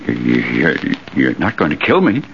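A man's voice: extremely low pitch, wildly swooping pitch, moderate pace, gravelly, exaggerated voice. An actor playing a nervous, blustering man afraid for his life, stammering and agitated in 1940s radio style. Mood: frightened, stammering. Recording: noisy, muffled old radio recording.

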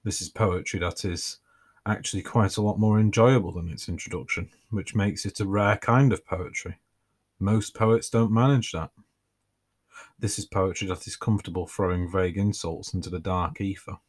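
A man hosting his own podcast, reading his writing aloud in a relaxed way with dry, self-mocking humour. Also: low voice, moderate pace. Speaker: podcast host and author.

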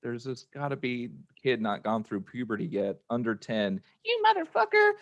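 Chatty man, mid-pitched, close dry sound. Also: moderate pace, dry recording. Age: adult with a young child. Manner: informal, chatty storytelling. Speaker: podcast guest and voice actor. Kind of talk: casual chat.

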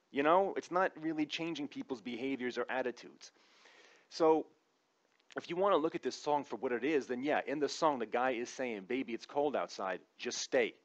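A man's voice: mid-range voice, expressive, normal speed, muffled recording. A man giving a conversational comic opinion monologue in an informal, wry, sometimes profane way. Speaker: comedic commentator.

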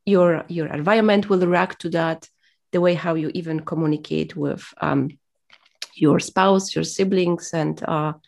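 A woman's voice: low-pitched, steady tone, unhurried, vocal fry, a little mellow. A woman whose first language is Polish, speaking as an interview guest in a reflective, motivational way, hesitant and full of fillers as she searches for words.

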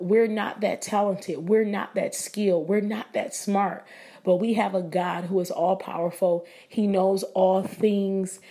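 A woman with a raspy voice hosting a casual devotional podcast, talking earnestly and prayerfully to her listeners. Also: on the low side, dark tone. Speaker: podcast host. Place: living abroad, indoors.

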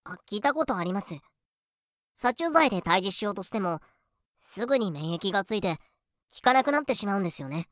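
A woman's voice: medium pitch, expressive, brisk, fairly bright tone, dry recording, studio quality, natural voice. A woman playing a polite, incredulous young female listener in a fan-made comedic voice drama. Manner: polite, reacting with disbelief.

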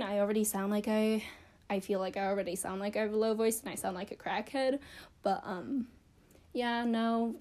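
A woman's voice: medium pitch, mellow. A young woman chatting casually to camera about her childhood, rambling and self-deprecating with lots of fillers. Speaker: vlogger.

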